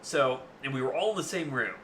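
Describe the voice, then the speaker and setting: Booming young man, bright voice. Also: animated, a little fast, a little hiss or hum. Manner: rambling, swearing freely, with many fillers. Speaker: streamer. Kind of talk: casual storytelling while streaming.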